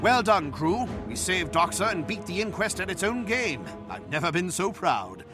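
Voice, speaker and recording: A man playing an excitable, proud gnome-like team leader in a video game, commanding and theatrical. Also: sits high, moderate pace, music in the background. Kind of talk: video game cutscene acting.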